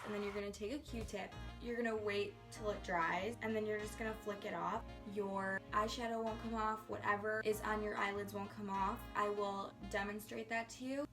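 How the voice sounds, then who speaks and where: A chatty woman walks through her makeup routine at home in a loose, rambling way and pokes fun at herself as she goes. Her voice sits at an ordinary middle pitch, with music playing behind her. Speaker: beauty vlogger. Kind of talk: casual makeup tutorial.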